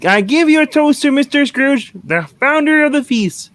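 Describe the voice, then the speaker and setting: A man's voice: extremely high pitch, animated, moderate pace, fairly bright tone, no echo. A man playing a kindly, affectionate, genial family father in a radio play reading. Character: Bob Cratchit, kindly, gentle family father.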